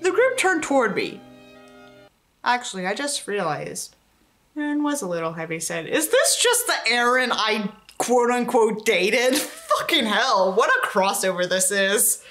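A woman's voice: on the high side, sing-song, a little slow, fairly bright tone, soft background music. A young woman reading a novel aloud with sarcastic, sweary commentary in a theatrical way. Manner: theatrical, acts out dialogue in raised tones. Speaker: book reviewer and host. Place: indoors in front of a tinsel backdrop.

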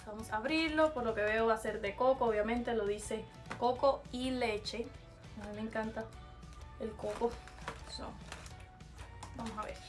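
A woman's voice: fairly high pitch, a little slow, pauses often, faint music behind. A young woman with a Cuban Spanish accent, chatting casually to camera in a small bedroom and slipping English words into her talk. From Cuba. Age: young adult. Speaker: vlogger. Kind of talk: casual vlog, taste test.

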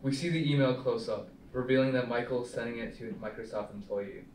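A young man reads stage directions as narrator at a table read, in a medium-pitched voice. He is recorded from a distance in a very roomy space with faint noise. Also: a little fast, darkish tone. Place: small meeting room, indoors.

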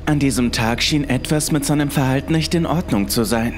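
A man's voice: medium pitch, fairly quick. A man reading a scripted first-person horror story as its narrator. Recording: music behind the voice. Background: music.

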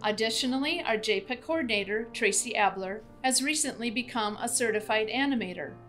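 A woman's voice: fairly high pitch, brisk, fairly bright tone. A middle-aged American woman giving a scripted announcement to camera indoors. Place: bright indoor room with large windows. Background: background music.

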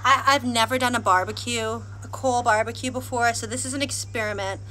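A woman's voice: on the high side, fairly even intonation, brisk, pushed and bright, a little hiss or hum, rough recording. A woman live-streaming casual chat while she cooks and climbs stairs, a little out of breath, asking viewers questions. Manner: chatty, self-deprecating, asks viewers questions. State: panting from heat and stairs. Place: house and outdoor stairs and terrace.

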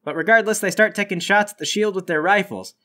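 A man with a fairly high, bright voice acts as game master. He narrates the scenes of a tabletop role-playing game. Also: fast.

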